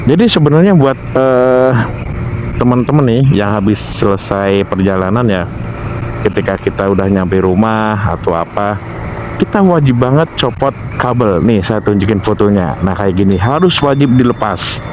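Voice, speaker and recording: A man's voice: on the low side, moderate pace, background noise. An Indonesian man giving informal, instructive vlog advice, often repeating himself and searching aloud for words. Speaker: motovlogger giving gear care tips. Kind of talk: informal explanatory vlog talk.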